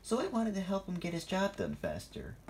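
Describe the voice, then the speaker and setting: A storyteller voicing a gentle female guardian engine in a soothing, feminine, softly playful way. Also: mid-range voice, huge pitch swings, normal speed, slight background noise, pitched above the performer's own voice. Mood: soothing, friendly.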